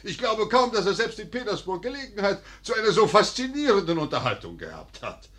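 A man's voice: high voice, sing-song, a little fast, a bit bright. An actor playing a middle-aged landowner father in a comic radio play, effusively gallant, pompous and blustering. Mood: gallant, insistent. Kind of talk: German radio play comedy acting.

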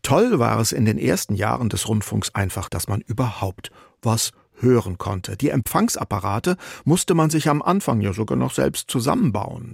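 A man presenting a scripted radio program in a relaxed, lightly ironic way, with a husky voice. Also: mid-range voice, dry recording. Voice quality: husky, gravelly. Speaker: radio host. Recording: dry close studio mic.

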